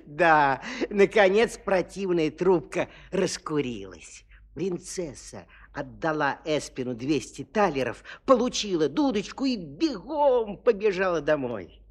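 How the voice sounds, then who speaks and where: A man narrating a scripted fairy tale for children as an expressive, emphatic storyteller. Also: on the high side, unhurried.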